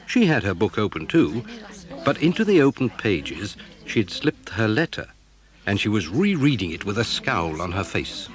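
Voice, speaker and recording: A man's voice: on the low side, sing-song, normal speed, a crowd in the background. A man reading scripted narration for a children's audio drama, telling the story.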